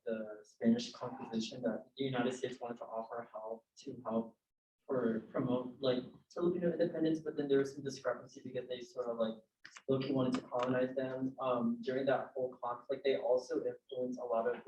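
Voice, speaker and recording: A man's voice: mid-range voice, steady tone, normal speed, a little mellow. A young man, a university student, introducing himself casually and sharing thoughts with many fillers. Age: young adult. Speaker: student participant. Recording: distant, dull, roomy mic.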